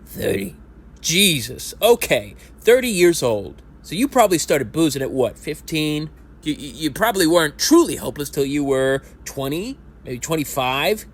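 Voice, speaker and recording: A man's voice: a little high, big pitch swings, moderate pace. A man in his thirties playing a self-pitying lifelong sports fan at a support group, rambling and self-deprecating, and sometimes rising into indignant rants in an audio play. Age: 30s. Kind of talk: amateur audio play acting.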